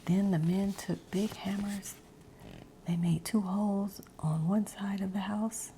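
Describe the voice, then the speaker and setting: A woman reading a children's picture book aloud in a soft whisper, adding her own asides and changing some lines as she goes. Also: mid-range voice, steady tone, measured pace, a little hiss or hum, rough recording.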